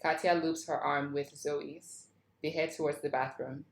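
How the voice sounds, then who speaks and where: Low-voiced woman narrating a script, echoey. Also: little pitch movement, measured pace, gravelly. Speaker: stage-direction narrator. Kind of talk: reading screenplay action lines aloud. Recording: roomy, echoing sound.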